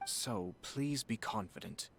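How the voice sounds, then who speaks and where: An actor playing a refined, composed young nobleman in a game, speaking formally with measured courtesy. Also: mid-range voice, animated, gravelly, a bit bright, slight background noise, exaggerated voice. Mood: composed, probing. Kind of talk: scripted game dialogue acting. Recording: clean studio voice over game music.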